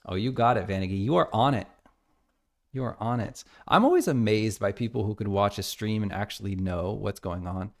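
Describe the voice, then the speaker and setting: American guy coding live on stream, kinda low and gravelly, thinking out loud. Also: lively intonation, fairly quick. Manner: thinking aloud, reading code out. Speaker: game developer streamer.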